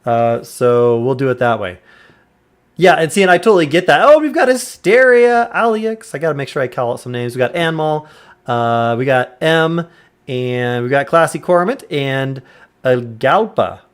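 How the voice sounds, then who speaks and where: A man in his thirties chatting casually on a live stream from a quiet room at home, keeping his voice low on purpose and greeting viewers by name. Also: animated, smooth. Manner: deliberately quiet, subdued voice. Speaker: game streamer. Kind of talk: casual live-stream chat while gaming.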